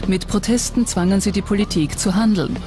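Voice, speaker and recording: A narrator with a mid-pitched voice delivers scripted documentary narration. Music plays clearly behind the voice throughout. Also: fairly quick. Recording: narration over prominent music. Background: background music.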